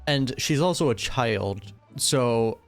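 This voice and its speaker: A man's voice: mid-range voice, expressive, slow pace, faint music behind. A man giving casual, joking reaction commentary as a game streamer.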